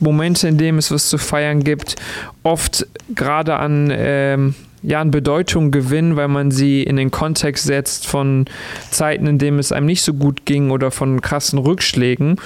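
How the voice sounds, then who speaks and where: Smooth-voiced man speaking in a flat tone. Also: on the high side, measured pace. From Ecuador. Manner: reflective, searching for words, many restarts. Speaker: musician guest. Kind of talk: radio interview.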